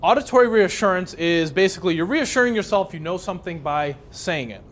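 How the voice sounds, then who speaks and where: A man's voice: high voice, expressive, a little fast, a bit bright, a little hiss or hum. A young man whose first language is Polish, from Chicago, teaching a live workshop in a conversational way and asking the audience many questions. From Chicago, USA. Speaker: speed reading instructor.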